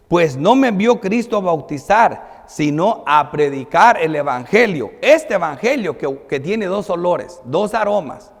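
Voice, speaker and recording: A male pastor with a high voice delivers a sermon, moving between scripture and explanation. He is emphatic, declaiming some phrases and dropping softer on others. Long reverb of a large hall surrounds his words. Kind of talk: sermon, reading and explaining Bible verses.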